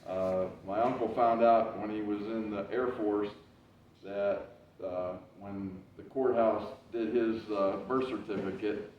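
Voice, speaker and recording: A man giving a casual library talk as a historical reenactor, with a loud voice and a loose, rambling way of telling, full of "uh"s and restarts. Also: fairly low pitch, fairly even intonation, a little slow, slight background noise. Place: library meeting room. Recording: very echoey, distant, dull room recording.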